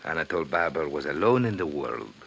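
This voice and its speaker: Solemn low-voiced merchant, dramatically swooping. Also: male voice, very animated, gravelly, a bit bright, husky, lo-fi sound, heavily acted. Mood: solemn, guarded. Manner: formal, deliberate, theatrical phrasing. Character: formal, courteous male oriental carpet merchant, solemn. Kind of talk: 1950s radio drama acting. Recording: noisy 1950s radio recording.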